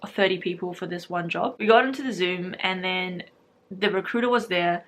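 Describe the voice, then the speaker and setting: A woman's voice: lowish voice, moderate pace, a bit bright, slight background noise. A young woman in her twenties with a New Zealand accent, chatting casually to camera, full of fillers and frequent laughs. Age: 20s. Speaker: vlogger.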